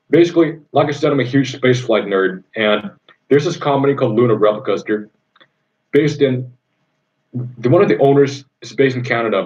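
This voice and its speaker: A young man from Indonesia chatting casually on a livestream, rambling with many ums and easy enthusiasm. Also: fairly low pitch. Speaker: livestream host answering viewer questions. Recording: dull lo-fi sound.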